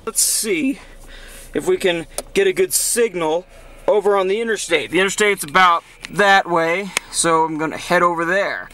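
A man's voice: high voice, normal speed, sharp tone. A young man in his late teens giving a casual, unscripted hands-on demonstration, recorded on a handheld camera inside a car. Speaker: hobbyist vlogger demonstrating his CB radio. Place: inside a car, outdoors parking area. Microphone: handheld camera's built-in mic. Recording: noisy camera audio with vehicle ambience.

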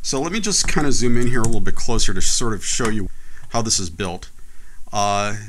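Bright-voiced man walking through 3D software. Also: lowish voice, a little fast, slight background noise. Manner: relaxed, chatty, frequent "uh" and "you know". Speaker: software tutorial host. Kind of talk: casual screen-recorded software walkthrough. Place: voice-over for a screen recording.

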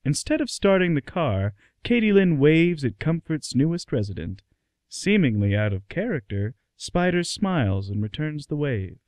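A man's voice: a little high, sing-song, normal speed, darkish tone, dry recording, studio quality. A man narrating a scripted radio play.

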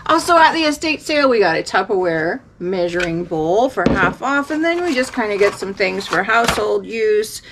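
A woman's voice: medium pitch, lively intonation, moderate pace, hardly any pauses. A middle-aged American woman chatting casually as a reseller showing her finds. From United States. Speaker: reseller and vlog host. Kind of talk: casual haul chat. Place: cluttered indoor workroom. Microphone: camera a few feet away. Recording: noisy room, clinking items handled.

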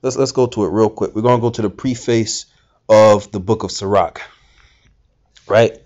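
A man's voice: lowish voice, fairly even intonation, moderate pace, darkish tone, highs cut off. A man giving informal scripture teaching, rambling with many fillers and restarts. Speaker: Bible teacher.

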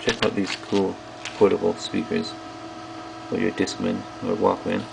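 A man giving a casual hands-on product review, speaking haltingly in short pieces as he handles things. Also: sits low, monotone, vocal fry, background noise. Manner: halting, piecemeal delivery while handling items. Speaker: video host reviewing old electronics. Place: small indoor workroom at a bench.

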